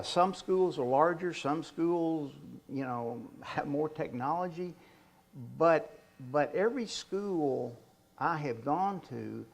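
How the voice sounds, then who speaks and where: Older man with a stutter, slow and creaky. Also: mid-range voice. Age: 60s or older. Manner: halting, with repeated words and blocks. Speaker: author giving a presentation. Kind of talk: informal public talk.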